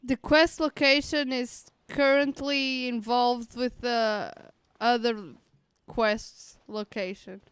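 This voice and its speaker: A woman's voice: fairly high pitch, fairly even intonation, takes it slowly, few pauses, fairly bright tone, clean tone, no room sound. A woman game streamer giving casual, playful live commentary with somewhat hesitant phrasing.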